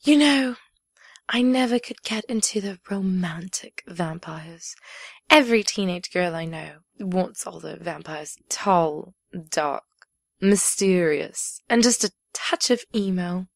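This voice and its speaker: A woman's voice: on the low side, slow, dry recording, heavily acted. Woman playing a teasing, sinister villainess, close and dry. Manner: teasing, theatrical, dropping into breathy murmurs. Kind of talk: fan audio drama acting.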